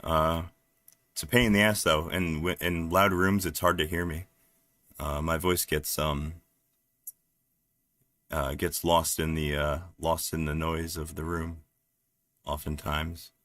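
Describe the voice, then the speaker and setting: A man from New Jersey with a deep voice, chatting casually and haltingly on a live stream while he works at his computer, with lots of fillers. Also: level tone, fairly bright tone, no echo. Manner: rambling, halting, many fillers, laughs often. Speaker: livestreaming developer.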